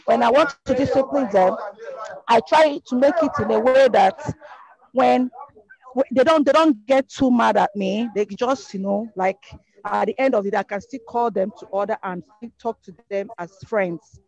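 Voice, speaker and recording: A woman speaking calmly and gently as a panelist on a video call. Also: fairly high pitch, normal speed, creaky, rough recording. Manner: calm, gentle, explaining.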